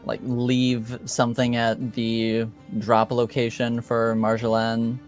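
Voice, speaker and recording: A man acting as game master for a tabletop roleplaying session, talking casually and haltingly as he thinks aloud. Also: medium pitch, completely flat delivery, faint music behind.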